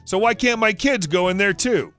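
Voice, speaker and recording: Insistent dad, man, questioning, raised pitch, narrator putting him on. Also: animated, moderate pace, a bit bright, soft background music. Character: pushy dad confronting a zookeeper, man.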